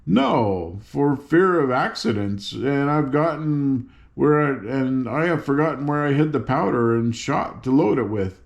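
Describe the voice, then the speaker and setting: A man's voice: medium pitch, animated, a little slow, vocal fry, hardly any pauses, dull audio. A man voicing a hapless, mild-mannered soldier who talks in an earnest, apologetic way.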